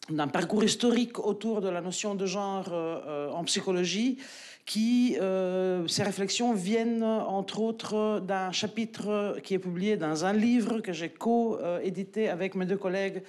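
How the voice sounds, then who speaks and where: A woman's voice: on the low side, darkish tone. A woman in her forties with an Italian-Belgian French accent, giving a conference talk into a handheld mic in a lecture hall, hesitant with many fillers and restarts. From Italy. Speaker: academic speaker.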